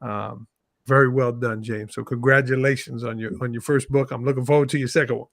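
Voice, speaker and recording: Gravelly upbeat podcast host. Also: male voice, mid-range voice, steady tone, brisk, no room sound, clean studio sound. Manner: upbeat, praising. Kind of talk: interview hosting.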